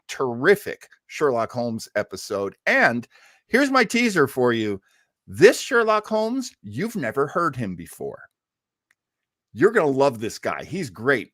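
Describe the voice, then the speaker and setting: A man's voice: medium pitch, a bit bright, dry recording. Man, show host, casual live chat, rambling, jokey, emphatic delivery, dry close sound.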